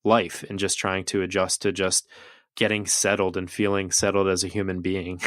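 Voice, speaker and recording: A man hosting a podcast, talking in a relaxed, casual way with frequent laughs and filler words. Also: low voice, moderate pace, dry recording, clean studio sound. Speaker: podcast host. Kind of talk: casual interview chat. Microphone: remote call recording.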